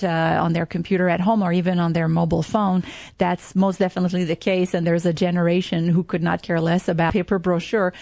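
A woman's voice: on the low side, gravelly, a little mellow, no echo. A middle-aged woman from Quebec with a French Canadian accent, speaking English as a second language and chatting enthusiastically about tourism in an interview, with frequent fillers. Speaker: tourism marketing guest. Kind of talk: conversational interview.

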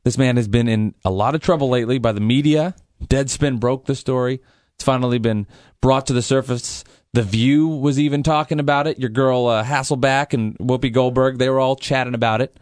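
A man's voice: medium pitch, fairly even intonation, brisk, a little mellow, no room sound. A man hosting a sports radio show from a studio, teasing his co-host in playful casual banter. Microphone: studio microphone at a mixing board.

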